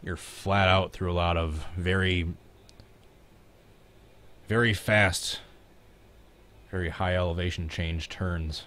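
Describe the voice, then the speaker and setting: A man's voice: low-pitched, fairly even intonation, slow pace, long pauses, a bit bright. A man with a Midwestern American accent, chatting casually and reacting live to a race as a stream host.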